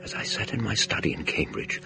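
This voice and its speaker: Deep-voiced, fussy English scholar. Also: male voice, expressive, brisk, gravelly, over music. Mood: reflective. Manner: hesitant, donnish, fussily polite. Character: courteous, fussy English scholar and ghost-story writer. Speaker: narrator and lead character. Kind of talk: radio drama acting and narration.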